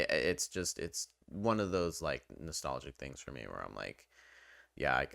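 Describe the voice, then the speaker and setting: A man with a very low, smooth voice talks through a game review like a video host. He is conversational and rambling, clearly keen on his subject. The sound is dry and close, with clean, resonant delivery. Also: pushed and bright, no room sound. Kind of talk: casual, loosely scripted game review commentary.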